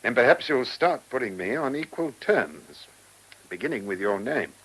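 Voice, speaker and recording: An actor playing a shrewd, persistent chief inspector in a radio play, with an English accent and a dry, probing manner. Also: male voice, mid-range voice, big pitch swings, normal speed, fairly bright tone, breathy edge, exaggerated voice, poor audio. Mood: confident, confronting. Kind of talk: radio drama acting.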